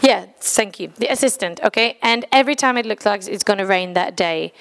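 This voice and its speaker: A woman's voice: mid-range voice, fairly quick, gravelly, a bit bright, a little hiss or hum. A woman from the Czech Republic, speaking English as a second language with a Czech accent. She addresses a church congregation earnestly, in slightly broken English, and laughs at her own slips.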